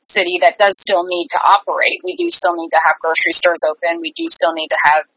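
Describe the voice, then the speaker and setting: A woman's voice: fairly low pitch, sing-song, quick pace, creaky, bright, forceful tone, husky, no echo, rough recording. A woman speaking as a guest in a conversational interview, earnest and emphatic in the way she talks. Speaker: interview guest, theatre artistic director.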